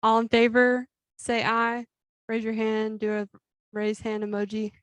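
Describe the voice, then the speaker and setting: A young woman chairing a student government meeting, running through the agenda in a brisk, lightly amused way. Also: fairly high pitch, monotone, smooth, dry recording. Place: meeting with a Zoom link.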